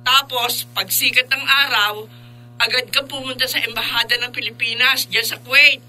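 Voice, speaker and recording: An actress playing a concerned, practical middle-aged mother, briskly giving advice in a radio drama. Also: on the high side, steady tone, bright, forceful tone, rough recording. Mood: concerned, advising. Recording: music under the voice. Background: music.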